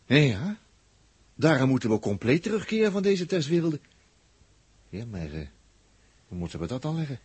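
An actor playing a sceptical, excitable space test crewman with a colloquial, mocking way of talking in a 1960s radio drama. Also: medium pitch, moderate pace, pauses often, husky, dry recording. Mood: puzzled, excited.

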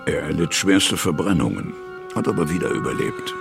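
A man's voice: extremely low pitch, lively intonation, moderate pace, creaky, full character voice. A man with pronunciation narrating a radio play from a script in a laconic, matter-of-fact way. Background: music.

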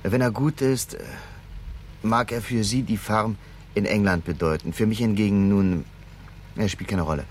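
Man playing a low-voiced, calculating executive. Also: slight background noise, close to an everyday voice. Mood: insinuating. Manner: measured, persuasive, probing. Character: smooth, calculating male company vice president, tempter. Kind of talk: 1970s German radio crime drama acting.

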